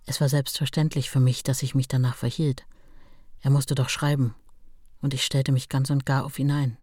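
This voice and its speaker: A woman's voice: extremely low pitch, level tone, moderate pace, mellow, no echo. An older woman reminiscing about her husband, telling it emphatically with quoted dialogue. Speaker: writer's widow recalling her husband. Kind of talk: spontaneous reminiscence.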